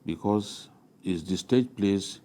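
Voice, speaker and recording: Middle-aged man, Nigerian accent, low pitch, soft mellow tone, halting panel talk. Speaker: studio discussion panelist. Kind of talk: unscripted panel discussion.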